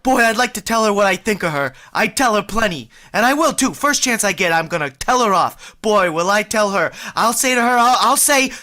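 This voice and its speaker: A woman's voice: medium pitch, animated, brisk, few pauses, sharp tone. Lady doing a jittery lovesick young guy from old radio, talk bouncing all over. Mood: flustered. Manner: earnest, flustered, rambling. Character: awkward, lovestruck young man of 21. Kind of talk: 1940s radio acting.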